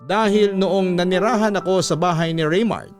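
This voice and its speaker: A man's voice: high voice, moderate pace, music in the background. A man narrating a scripted first-person story as the host of a radio drama.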